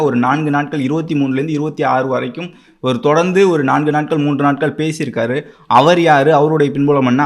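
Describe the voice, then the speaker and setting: A man with a Tamil Nadu accent giving political news commentary as an explainer, speaking emphatically. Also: on the high side.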